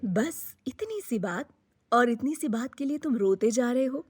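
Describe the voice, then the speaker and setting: A woman's voice: fairly high pitch, animated, unhurried, darkish tone, breathy edge, dry recording. A woman voicing a kindly, solemn old male sage in a moral storybook tale.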